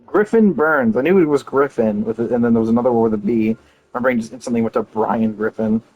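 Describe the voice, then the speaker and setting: A man's voice: medium pitch, fast talker, a little mellow, dull audio. A man giving casual video game commentary, rambling and thinking aloud as he plays. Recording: faint game music behind.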